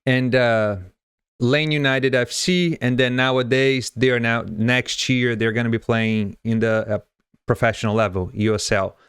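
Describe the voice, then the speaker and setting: A man's voice: mid-range voice, steady tone, unhurried, a bit bright, smooth, no room sound, clean studio sound. A young man from Brazil, a native Portuguese speaker, hosting a casual interview with an enthusiastic, chatty manner, often agreeing with his guest. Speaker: podcast host.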